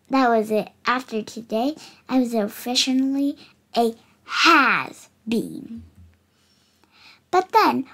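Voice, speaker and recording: An eight-year-old child reading a picture book aloud expressively, slightly halting with dramatic emphasis on words. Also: sits high, creaky. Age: child, 8 years old. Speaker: young book reader.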